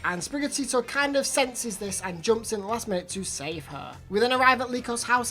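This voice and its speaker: A woman hosting an anime review channel, chatting casually through an episode recap while her voice is slightly off from hay fever. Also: mid-range voice. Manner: chatty recap with repeated words.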